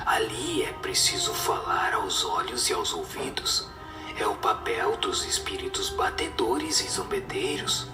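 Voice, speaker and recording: A man voicing a wise, solemn spirit who teaches gravely in a low, breathy, half-whispered voice, in a radio drama. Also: measured pace, echoey room, recorded from a distance, music in the background, close to an everyday voice. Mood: solemn, stern. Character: wise superior spirit answering questions, solemn and instructive.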